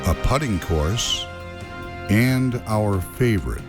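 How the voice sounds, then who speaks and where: Guy doing a scripted promo tour voiceover, lowish voice, very lively pitch, music behind. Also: slow. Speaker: narrator of a tour video. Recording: music under the voice. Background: background music.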